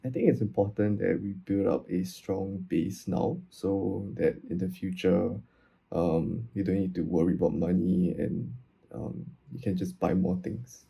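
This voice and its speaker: A man, a university student giving relaxed answers in an interview, with hesitant ums. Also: low-pitched, measured pace, vocal fry, breathy edge. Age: 20s.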